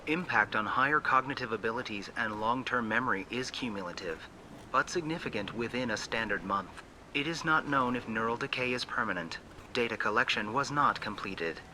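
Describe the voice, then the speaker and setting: An actor voicing an emotionless, formal, precise emergency beacon computer reciting logs in a science fiction game. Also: male voice, medium pitch, animated, brisk, bright, forceful tone, slight background noise, poor audio. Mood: impassive, clinical. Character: impassive male-voiced ship beacon computer reciting incident logs. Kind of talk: automated log readout.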